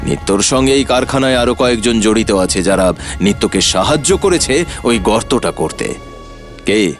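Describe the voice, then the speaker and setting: An actor playing a shrewd, confident detective in a cartoon mystery, explaining things in a sly, theatrical way. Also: male voice, lowish voice, expressive, moderate pace, soft background music, natural voice. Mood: confident, explanatory. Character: shrewd, confident male detective revealing the culprit. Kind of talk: animated detective story acting.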